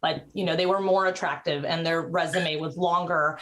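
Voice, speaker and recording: A woman's voice: deep voice, steady tone, moderate pace, fairly bright tone. A woman in her thirties who grew up in Mexico, a guest talking casually over a video call, chatty, with many fillers and restarts. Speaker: interview guest, actress and writer. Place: indoors at home, curtained room.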